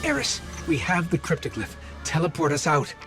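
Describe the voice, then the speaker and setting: A man's voice: a little high, big pitch swings, normal speed, far from the mic, over loud music. A man voicing a small robot companion in a video game, earnest and uneasy. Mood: urgent. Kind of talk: dramatic video game acting.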